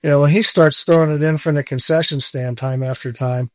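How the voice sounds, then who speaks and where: A man in his sixties chats as an interview guest, candid and self-deprecating, laughing now and then. His voice sits a little high for a man. The recording is dry and close, with no room sound. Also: fairly even intonation, brisk, no echo. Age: 60s. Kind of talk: casual interview chat.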